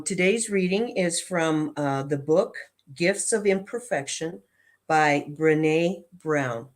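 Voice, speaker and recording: Low-pitched woman reading slowly and deliberately. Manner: deliberate, phrase-by-phrase delivery. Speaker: prayer practitioner and reader. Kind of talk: measured read-aloud of affirmations and a book reading.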